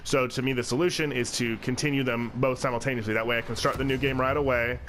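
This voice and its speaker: A man's voice: medium pitch, fairly even intonation, fast, a bit bright. Stuffy-voiced man rambling over gameplay. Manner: rambling, with frequent fillers and restarts. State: congested from a cold, sniffling. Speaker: let's play channel host. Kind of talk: casual gameplay commentary.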